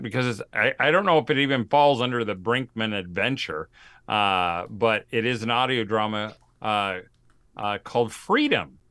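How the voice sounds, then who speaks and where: Bright, clear-voiced man, emphatic podcast co-host. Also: medium pitch, no echo. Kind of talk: casual, emphatic conversation.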